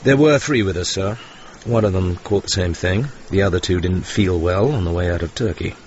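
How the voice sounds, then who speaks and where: An actor with a British accent playing a suave, cool, determined secret agent in a radio drama, clipped and understated. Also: male voice, sits low, normal speed, darkish tone, lightly acted. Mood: guarded, deferential. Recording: muffled, noisy radio drama recording.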